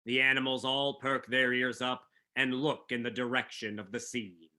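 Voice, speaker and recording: A man's voice: medium pitch, little pitch movement, moderate pace, bright, forceful tone. A man reading scripted narration for a staged play reading.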